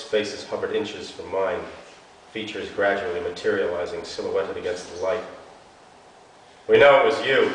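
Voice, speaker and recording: A man giving an intense, theatrical dramatic reading of a literary story as an actor. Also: low-pitched. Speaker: actor reading an essay aloud. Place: arts centre hall before an audience. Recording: echoey hall from far off, hiss.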